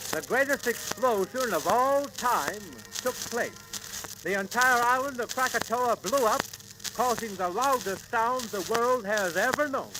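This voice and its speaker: Male 1930s radio host-narrator, high-pitched, emphatic and dramatic, on a hissing, crackly transcription disc.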